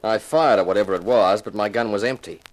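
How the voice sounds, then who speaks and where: Low-voiced hard-boiled investigator played by a male actor. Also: fast, natural voice, low-quality recording. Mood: reassuring, wry. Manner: wry, matter-of-fact. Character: hard-boiled, wry male insurance investigator, cool-headed. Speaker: narrator and lead. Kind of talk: 1950s radio detective acting and narration.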